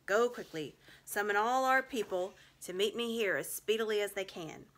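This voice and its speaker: An imperious storybook witch, acted out by a woman, speaks in a bright, forceful voice. She is scornful and haughty, used to giving orders to her minions. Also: medium pitch, lively intonation, moderate pace, natural voice. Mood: commanding. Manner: haughty, commanding.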